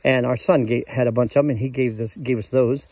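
A man's voice: medium pitch, fairly even intonation, fast, darkish tone. An older man casually showing his cabin outdoors in the woods, rambling in a folksy way with many fillers, recorded on a handheld phone. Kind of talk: casual walkthrough chat.

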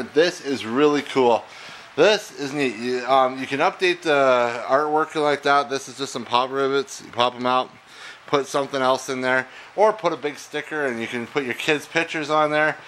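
A man, an auctioneer chatting casually and excitably as he walks through lots in a warehouse, recorded on a handheld camera mic. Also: a little high, a little hiss or hum.

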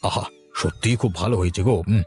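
A man's voice: low voice, fairly quick, darkish tone, faint music behind, lightly acted. Man playing an easygoing village husband. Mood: pleased, relaxed. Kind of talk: Bengali cartoon voice acting.

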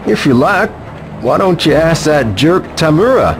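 An actor playing a chatty, helpful elderly shopkeeper in a video game, with a folksy, gossipy manner. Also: male voice, on the high side, big pitch swings, measured pace, background noise. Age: older. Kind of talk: English video game voice acting.

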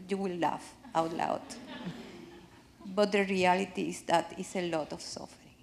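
A woman from Cuba with a Cuban Spanish accent, speaking earnestly from the audience in a theater, pausing to find her English words. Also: medium pitch. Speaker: audience member asking a question. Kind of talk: impassioned personal testimony. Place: large theater with live audience.

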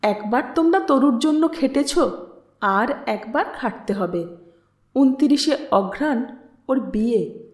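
A woman voicing a kindly, gentle middle-aged mother in an audio story, measured and affectionate. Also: a little high, animated. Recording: echoey room.

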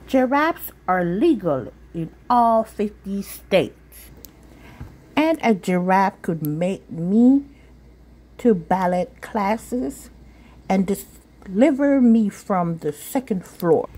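A woman's voice: medium pitch, lively intonation, slow pace, dark tone, pitched above the performer's own voice. A woman voicing an earnest, persuasive little girl in a storybook, speaking formally and inquisitively.

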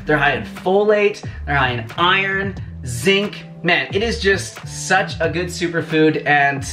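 A man's voice: on the high side, pushed and bright. Chatty young man in a home kitchen. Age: young adult. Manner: upbeat, chatty, addresses viewers as "you guys". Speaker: cooking channel host. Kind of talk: casual cooking vlog to camera. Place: small home kitchen indoors.

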